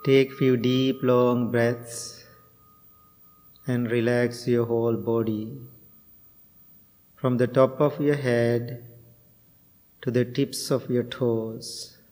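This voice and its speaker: Middle-aged male Buddhist monk from Sri Lanka, unhurried, gentle, pausing often, even-toned, long reverb. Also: mid-range voice. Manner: slow, gentle, with frequent pauses and fillers. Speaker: Buddhist monk and meditation teacher. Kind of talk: guided meditation and dharma talk.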